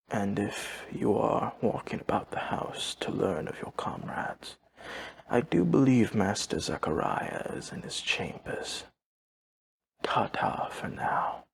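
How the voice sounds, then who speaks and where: A courteous old vampire servant speaks at an unhurried pace with a heavy fry in his low voice. His delivery is formal and drained of emotion, as if reporting to a guest in an audio roleplay. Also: heavily acted. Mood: polite. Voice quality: gravelly, breathy husk. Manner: formal, measured, deadpan, no contractions. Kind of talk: audio roleplay acting, addressing the listener.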